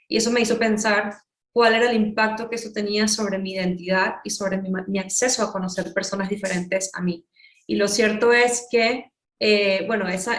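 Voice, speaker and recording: A woman with a Venezuelan accent speaks as an interview guest, explaining things in an articulate way. Her pitch sits in the middle range for a woman. The recording is roomy, with clear echo around the voice. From Caracas, Venezuela. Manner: articulate, explanatory, builds points with examples. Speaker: interviewee, urban nightlife consultant. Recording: roomy, echoing room sound.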